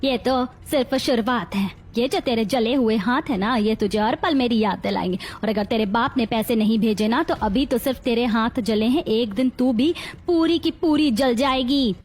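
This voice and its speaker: Villainous middle-aged mother-in-law for a moral story, female actor: high voice, commanding, cruel, scheming. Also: moderate pace, hardly any pauses, darkish tone, a little hiss or hum, close to an everyday voice. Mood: menacing. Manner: menacing, commanding.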